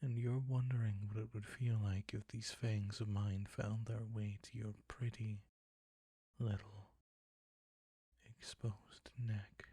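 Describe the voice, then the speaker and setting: A man playing a predatory, possessive vampire count in an intimate audio roleplay, teasing and commanding in a husky, breathy voice that often nears a whisper. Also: lowish voice, flat delivery, warm tone, no echo. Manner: slow, deliberate, silky teasing, commanding.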